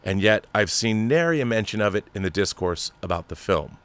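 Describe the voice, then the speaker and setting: Low-voiced man, emphatic film commentator. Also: animated, brisk, fairly bright tone, clean tone, no room sound. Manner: emphatic, profane, conversational asides. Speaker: host of a film commentary show. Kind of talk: casual commentary and reading an article aloud.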